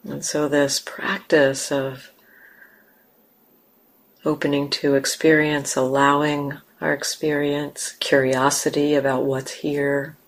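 A woman meditation teacher gently guiding a meditation, unhurried, with long pauses between short phrases. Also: sits low, level tone. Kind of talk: guided meditation. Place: meditation center.

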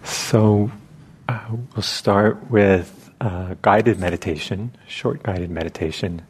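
Very slow, low-voiced man guiding meditation. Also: darkish tone, slightly rough. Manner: halting, with long pauses between short phrases. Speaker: meditation teacher. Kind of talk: guided meditation instruction.

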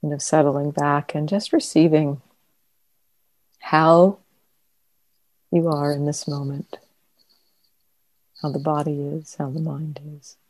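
A woman teacher leading a guided meditation in a gentle, unhurried manner, leaving long pauses. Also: low-pitched, steady tone, no room sound, rough recording. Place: meditation center.

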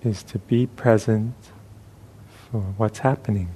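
A man guides a meditation at a very slow pace, leaving long pauses between short phrases. His voice is soft and mellow, sitting on the low side. He sounds like a gentle teacher giving unhurried instruction. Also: steady tone, a little hiss or hum.

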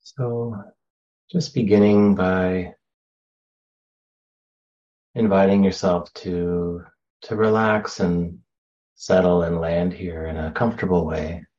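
A man guides a meditation in a low, mellow voice. His delivery is very slow and gentle, with long pauses between phrases. The sound is slightly dull. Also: fairly even intonation. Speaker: meditation teacher.

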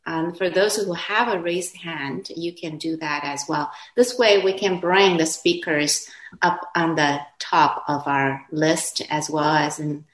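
Class moderator: low-pitched woman, first language Chinese, slow, gentle and hesitant, roomy echo on a video call. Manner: gentle, hesitant, with soft laughs. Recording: echoey room on a video call.

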